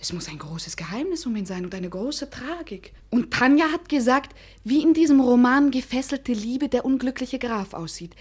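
A woman's voice: a little high, animated, moderate pace, few pauses, a little mellow, breathy edge. An actress playing a romantic, haughty young lady of the gentry in a German radio drama, imperious and eagerly curious. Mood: dreamy, curious. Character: impatient, romantic young noble lady, haughty but girlish.

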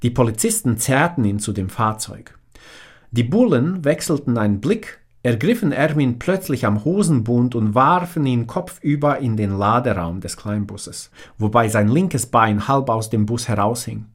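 A man's voice: medium pitch, lively intonation, normal speed. A man in his forties from Bosnia with a strong Bosnian accent, reading his own memoir as an audiobook in a direct, plain way.

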